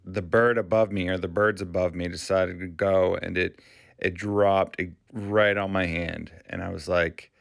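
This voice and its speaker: A man from Maine hosting his channel, talking casually in a halting, rambling way with frequent restarts. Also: sits low, fairly even intonation, gravelly, no echo, clean studio sound. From Maine, USA. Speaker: YouTube channel host. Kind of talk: casual vlog commentary.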